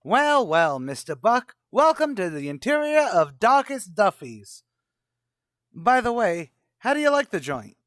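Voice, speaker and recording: A man's voice: high-pitched, very animated, a bit bright, no echo, studio quality, full character voice. A man doing a brash, slangy tavern manager. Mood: schmoozing. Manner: brash, fast-talking, slangy. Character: wisecracking adult male tavern manager. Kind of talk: old-time radio comedy acting.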